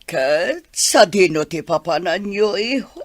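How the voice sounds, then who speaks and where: A woman's voice: fairly low pitch, animated, slow pace. An actress with an Ilocano Filipino accent playing a disapproving, worried mother of a grown son in a radio drama. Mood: questioning, worried.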